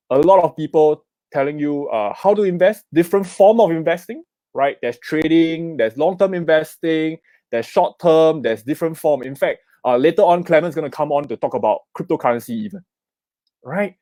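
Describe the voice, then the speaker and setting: A man's voice: fairly high pitch, mellow, no room sound. A middle-aged man with a Singaporean accent, giving an investment talk at an online summit. He keeps asking "okay?" and "right?" and urges viewers to type in the comments. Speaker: investment speaker. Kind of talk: live online seminar talk.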